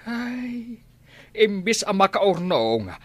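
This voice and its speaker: A man's voice: high voice, big pitch swings, extremely slow, a bit bright, no echo, full character voice. A man playing a grumbling, worried older rural father in an Ilocano-accented radio drama, scolding as he talks.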